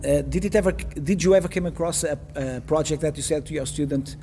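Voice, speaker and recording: A male interviewer, speaking English with a fairly high voice. He asks questions hesitantly, restarting often and filling gaps. Faint ambience sits behind him. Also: fairly quick, mellow, a little hiss or hum. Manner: hesitant, many fillers and restarts, frequent "okay, very well". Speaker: interviewer, host.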